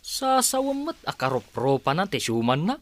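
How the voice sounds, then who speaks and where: Lady doing a scornful blunt neighbour in a radio play, dropped low, lively swings. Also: moderate pace, dry recording. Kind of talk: radio drama acting.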